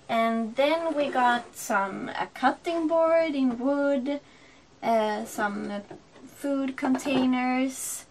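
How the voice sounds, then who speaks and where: A woman in her thirties from Sweden with a Swedish accent, chatting casually in a vlog and explaining things slowly with many fillers. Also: fairly high pitch, slight background noise. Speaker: sailing vlogger. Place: shops, dock and wooden boat cabin.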